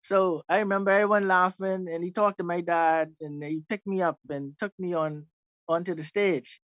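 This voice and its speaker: A man's voice: high voice, fairly even intonation, normal speed, dry recording. A man with a Bahamian accent spins loose, filler-laden stories about his life in music. The recording is dry and dull, with a muffled, lo-fi sound. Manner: rambling, many "you know" fillers. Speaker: jazz trumpeter, guest. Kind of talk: casual storytelling interview.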